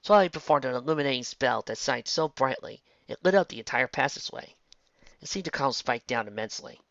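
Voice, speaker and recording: Bright-voiced story narrator, dry lo-fi recording. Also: medium pitch, lively intonation, brisk, creaky, no echo. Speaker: fan fiction narrator.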